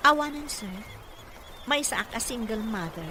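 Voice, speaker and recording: A woman's voice: on the high side, animated, measured pace. Humble young mother, polite, noisy recording woman acting. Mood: polite, earnest. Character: humble young single mother seeking caregiver work. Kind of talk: radio drama acting.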